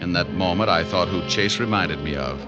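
A man playing a suave, wisecracking hard-boiled private detective in radio drama style, with a sardonic, clipped, quick-witted delivery. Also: low-pitched, highs cut off, over loud music, lightly acted. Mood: wry narration. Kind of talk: 1940s-50s radio drama acting.

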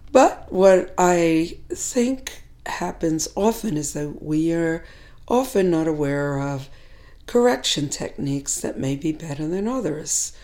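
Hoarse woman, low voice, mellow tone, measured teacher talk, middle-aged. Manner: measured, reflective, with pauses and fillers. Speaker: teacher educator.

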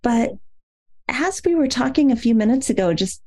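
A woman's voice: fairly high pitch, expressive, moderate pace, warm tone. A woman speaking as the host of a podcast, introducing the show and interviewing a guest.

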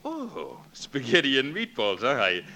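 An actor playing a cheerful, brash young football player in a 1940s radio comedy, breezy, hearty and slangy. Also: male voice, mid-range voice, wildly swooping pitch, measured pace, pushed and bright, full character voice. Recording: old 1940s radio broadcast.

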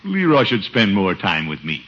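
A man's voice: lowish voice, huge pitch swings, moderate pace, bright, forceful tone, husky, exaggerated voice. A man playing a fretful, pompous middle-aged uncle, with hesitant, theatrical delivery in a 1950s radio comedy. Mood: wounded. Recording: muffled vintage radio recording.

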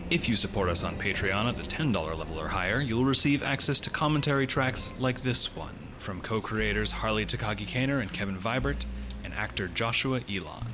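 A man reading scripted announcements as a podcast announcer, in a measured, formal manner. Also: on the low side, background noise. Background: music.

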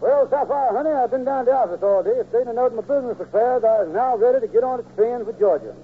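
An actor playing a folksy, blustering, wheedling small-time schemer in a 1940s radio comedy. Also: male voice, extremely high pitch, fast talker. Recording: scratchy, narrow old radio recording, hum.

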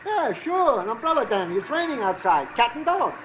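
An actor playing a naive, chatty, good-natured New police sergeant as comic relief in an old radio drama. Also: male voice, very high-pitched, big pitch swings, fairly quick, a bit bright, heavily acted. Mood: earnest, naive. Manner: naive, chatty, literal-minded. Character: simple, eager, good-natured male police sergeant sidekick. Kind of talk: 1940s-50s radio comic-relief acting. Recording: muffled, noisy vintage radio recording.

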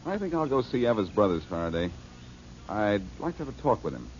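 Casting: a wisecracking detective, very deep voice with lively swings, muffled old radio sound. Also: male voice, fairly quick, slight background noise. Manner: breezy, wisecracking. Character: Boston Blackie, wisecracking adult male detective. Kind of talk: 1940s radio acting.

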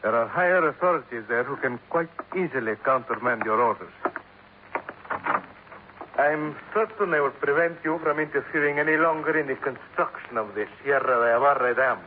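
A man's voice: mid-range voice, moderate pace, lightly acted. Man acting construction boss, Spanish accent, imperious, formal, confident, 1950s radio drama, narrow noisy recording. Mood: assured, cold. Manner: formal, assured.

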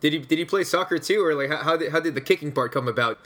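A man's voice: a little high, fast talker, fairly bright tone. A man hosting a sports podcast, talking in a casual, upbeat, conversational way. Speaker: podcast host and interviewer.

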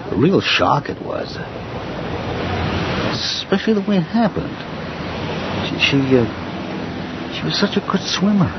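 Awkward, stammering man, swooping pitch. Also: big pitch swings, unhurried, long pauses, full character voice. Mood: awkward sympathy. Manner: stammering, hesitant. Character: awkward, nosy, condoling male acquaintance. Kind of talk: old-time radio drama acting. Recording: muffled, noisy old radio recording.

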